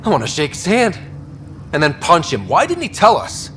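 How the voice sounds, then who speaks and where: An impulsive young explorer in a sci-fi video game. His voice is fairly high and swings widely, casual and wry in delivery. Faint noise runs behind him. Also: wildly swooping pitch, moderate pace, a bit bright. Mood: amazed, wry. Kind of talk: scripted game dialogue.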